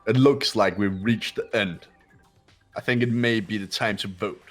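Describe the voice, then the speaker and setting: A man voicing a haughty, composed young man in a video game, formal and deliberate. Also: fairly low pitch, soft background music, natural voice. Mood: cool, grave. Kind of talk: fan voice acting of a video game script.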